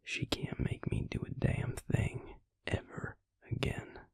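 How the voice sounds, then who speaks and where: A man playing a brooding, protective vampire lover in an intimate audio roleplay, whispering slowly and haltingly with a breathy, gravelly voice. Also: no echo, close to an everyday voice. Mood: bitter, confiding. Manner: slow, halting delivery with pauses.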